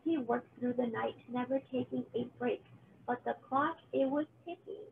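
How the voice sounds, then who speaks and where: A woman reads a children's picture book aloud with expression. Her voice is high with a creaky edge. The audio is thin and phone-like, with faint noise. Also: moderate pace. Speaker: storybook reader, teacher.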